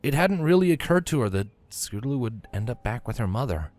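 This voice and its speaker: A man's voice: mid-range voice, very animated, a little fast, a little mellow, soft background music. A man reading scripted fiction aloud as a narrator.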